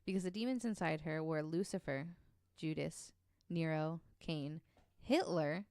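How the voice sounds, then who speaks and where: A woman tells a story to her podcast co-hosts in a relaxed, chatty way. She fills her pauses with ums and swears offhandedly. Her voice is slightly low and smooth, and it sounds dry and close to the mic. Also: no room sound. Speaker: podcast co-host telling the story. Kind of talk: casual podcast chat.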